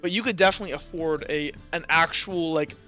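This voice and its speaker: High-pitched halting man running a tabletop game. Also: sharp tone, soft background music. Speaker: game master. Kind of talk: casual tabletop game narration and table talk.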